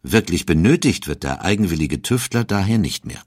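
A man narrating a scripted historical documentary in a measured, even storytelling manner. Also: low-pitched, husky, no room sound, studio quality.

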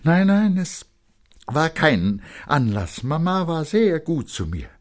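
A man voicing an earnest, contrite young boy with a halting, pleading manner in a story reading. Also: a little high, big pitch swings, pitched above the performer's own voice. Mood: anxious contrition.

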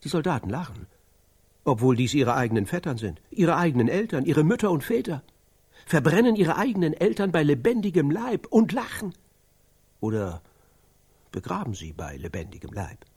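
An actor playing an intense man who grimly recounts atrocities in a radio drama, speaking in deliberate, halting phrases with a husky voice. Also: on the high side, big pitch swings, darkish tone, exaggerated voice. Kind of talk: German radio drama dialogue.

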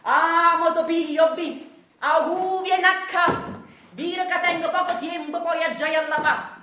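A woman playing a ferocious Neapolitan washerwoman wife in a radio play, loud and piercing, hurling coarse dialect. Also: extremely high pitch, fairly even intonation, measured pace, echoey room, voice pushed up, full character voice. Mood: furious. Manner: shrieking, insulting, coarse dialect. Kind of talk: theatrical radio monologue acting.

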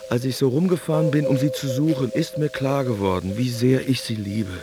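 A man's voice: mid-range voice, fairly quick, close to an everyday voice. An actor playing an infatuated man musing about his love life in a conversational, earnest radio drama monologue. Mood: lovestruck, earnest. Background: music.

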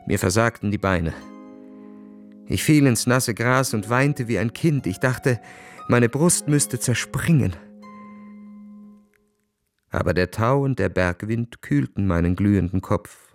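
A man's voice: fairly low pitch, expressive, slow, pauses often, faint music behind. An actor playing a proud, world-weary young Russian officer who narrates his own story dramatically in a radio play. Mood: bleak, ironic.

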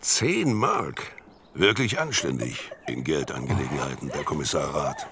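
An actor playing a sardonic radio play narrator with a dry, ironic manner. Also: male voice, rumbling low, very animated, a little slow, background noise, heavily acted. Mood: dry irony. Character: sardonic male narrator commenting on a detective's doings. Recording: music under the voice.

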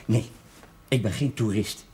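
An actor playing an educated, playful newcomer to a village, speaking wry banter in a television drama. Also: male voice, on the low side, a little slow, dark tone, slight background noise, close to an everyday voice. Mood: wry, curious.

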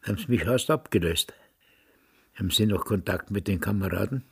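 An actor playing a self-satisfied old retired Austrian police major with a Nazi past, smug and rambling, with an Austrian accent in a radio drama. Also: male voice, fairly low pitch, fairly quick, gravelly, slightly rough, heavily acted. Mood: guarded. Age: older, past retirement. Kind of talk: radio drama acting, reminiscing.

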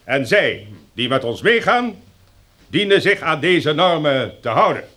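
A stern, self-important male leader in a radio play. His voice sits a little high and swings widely as he lays down the rules. The delivery is formal, measured and emphatic, like a public address. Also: big pitch swings, fairly bright tone, exaggerated voice. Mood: cool, unbending. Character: stern, pompous male leader lecturing survivors on new rules. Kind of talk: formal speech in Dutch radio drama.

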